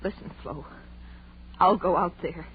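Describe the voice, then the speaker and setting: An actress playing a guilt-ridden, resigned young woman in an old-time radio horror drama, halting and sombre. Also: on the low side, lightly acted. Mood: guilty resolve. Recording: muffled, noisy vintage radio recording.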